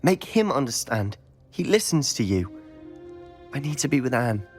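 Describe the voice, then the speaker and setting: A man's voice: mid-range voice, sing-song, moderate pace, music in the background, natural voice. An actor playing a guilt-ridden young male student, speaking in a pleading, emotional way in a video game. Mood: distraught.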